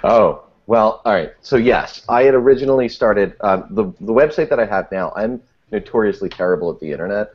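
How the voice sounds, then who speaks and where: A man with a low voice speaks as a guest in a casual interview. He is wry and self-deprecating, rambling through false starts. The recording is somewhat dull and lo-fi. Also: lively intonation, fairly quick, hardly any pauses. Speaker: podcast guest. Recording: dull, muffled lo-fi audio.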